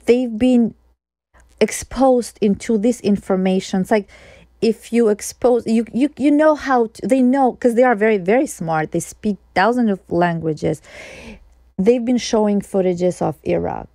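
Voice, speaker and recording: A woman explains things earnestly, circling back and restarting her sentences as she goes. Her mid-pitched voice has a gravelly, fry-tinged edge and a warm, mellow tone. The sound is dry and close. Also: a little slow, no echo. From Kosovo. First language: Albanian. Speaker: investigative journalist guest. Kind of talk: interview conversation.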